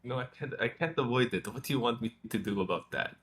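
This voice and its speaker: A man's voice: mid-range voice, quick pace, a bit bright. A young man, born in Pakistan and raised in London, calling into a debate, answering in a hesitant, defensive way with stumbling restarts. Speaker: debate caller. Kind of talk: casual, defensive debate talk.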